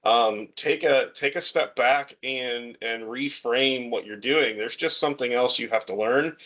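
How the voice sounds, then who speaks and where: A man's voice: medium pitch, measured pace, pushed and bright. A man speaking in a casual video call chat, encouraging and conversational, who often repeats words. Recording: muffled call audio.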